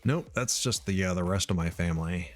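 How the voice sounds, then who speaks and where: A middle-aged man from Missouri thinking aloud while he writes code, halting with many ums and uhs. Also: deep voice, soft background music. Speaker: live-coding streamer.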